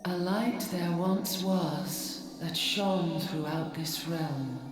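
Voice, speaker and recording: An ancient video game goddess, speaks extremely slowly in a vast echo. Her tone is solemn and beseeching, with stately archaic wording. Music plays clearly behind her lowish voice. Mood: solemn, imploring. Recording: echoing voice under loud music.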